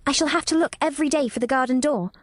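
A woman's voice: sits high, quick pace, no room sound, studio quality, pitched above the performer's own voice, close to an everyday voice. A woman voicing an earnest, self-assured little girl in an audiobook. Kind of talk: audiobook character voice.

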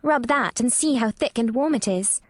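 A woman's voice: a little high, animated, normal speed, dry recording, studio quality, pitched above the performer's own voice. A woman voicing an inquisitive, forthright young girl with a blunt, questioning manner in a storybook reading. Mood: frank curiosity.

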